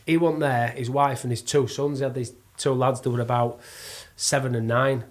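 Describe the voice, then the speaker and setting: A man in middle age speaks with a Yorkshire accent at an ordinary male pitch. He chats informally, telling animated stories with a joking, self-deprecating streak. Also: normal speed. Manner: jokey, self-mocking storyteller. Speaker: podcast guest, actor and plasterer. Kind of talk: casual chat, animated anecdote telling.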